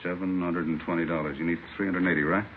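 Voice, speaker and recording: A man playing a practical, deliberate policeman in an old radio drama. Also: low-pitched, little pitch movement, natural voice. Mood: measured. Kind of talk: 1950 radio drama acting. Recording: muffled old radio recording, faint noise.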